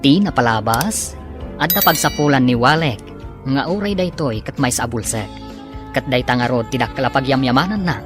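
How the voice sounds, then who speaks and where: Man, radio serial announcer, Ilocano Filipino accent, dramatic, emphatic, drawn-out words, music behind. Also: mid-range voice, lively intonation. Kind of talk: dramatic scripted radio narration.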